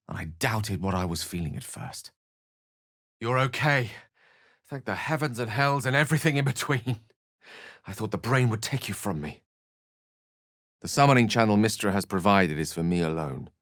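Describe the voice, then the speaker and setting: An actor playing an eloquent, theatrical wizard in a fantasy video game, learned, courtly and wry, with articulate, bookish phrasing. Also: male voice, low-pitched, dry recording, clean studio sound. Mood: sorrowful, then relieved, then earnest.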